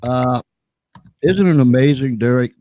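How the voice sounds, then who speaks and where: A man hosting a live interview show in an upbeat, energetic manner. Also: mid-range voice, measured pace, no room sound, lo-fi sound. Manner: upbeat, energetic host patter. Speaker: show host.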